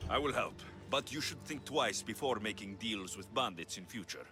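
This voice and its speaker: A man playing a tough, level-headed mercenary in a video game, with a Greek accent and a measured, plain-spoken delivery. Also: lowish voice, expressive, bright, forceful tone, soft background music. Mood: stern, probing. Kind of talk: video game cutscene acting.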